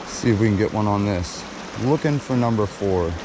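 Upbeat man fishing outdoors, low voice. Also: expressive, normal speed, background noise. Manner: upbeat, chatty, addressing viewers. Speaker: fishing vlogger. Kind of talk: casual running commentary while fishing. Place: outdoors by a creek. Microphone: body-worn action camera.

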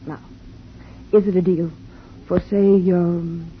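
An actress playing a sharp-tongued young woman writer in a 1950s radio drama, sardonic and quick-witted, on a muffled old broadcast with faint hiss. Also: fairly low pitch, flat delivery.

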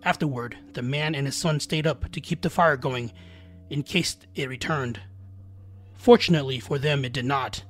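A man's voice: mid-range voice, normal speed, a bit bright. A man narrating a scripted scary folktale as a storyteller, with dramatic, suspenseful delivery. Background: background music.

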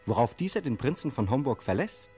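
A man's voice: mid-range voice, very animated, brisk, dark tone, soft background music. A man reading scripted stage directions as the narrator of a radio play.

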